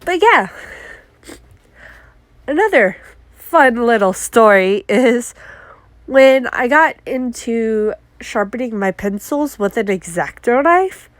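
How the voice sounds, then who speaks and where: A congested woman telling casual, animated stories about her art mishaps as a vlogger. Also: fairly high pitch, takes it slowly, long pauses, no echo. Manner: chatty, animated, acts out her own exclamations. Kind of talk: casual story time.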